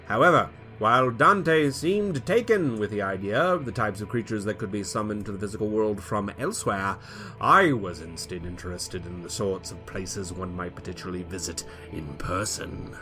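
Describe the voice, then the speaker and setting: A man with a low voice performs a first-person backstory as a dramatic reading. His delivery is theatrical and animated, lifting sharply on key words and falling away quietly at the close of phrases. A faint music bed plays beneath him. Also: normal speed. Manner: theatrical, rising to loud emphatic peaks then dropping low. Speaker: player reading character backstory.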